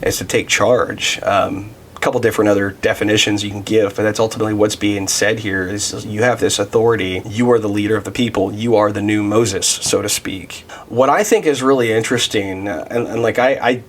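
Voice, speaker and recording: A man's voice: fairly low pitch, fairly even intonation, a little fast, hardly any pauses, slight background noise. A man in his thirties giving a casual devotional Bible teaching, thinking aloud with many restarts and fillers. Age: 30s.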